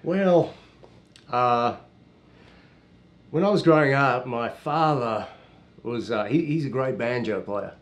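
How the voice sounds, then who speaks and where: A man's voice: medium pitch, lively intonation, slow, pauses often. An Australian man in his thirties, a guest musician, chatting in a studio interview with a slight American Southern drawl, friendly and full of "you know". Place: small TV studio with green screen.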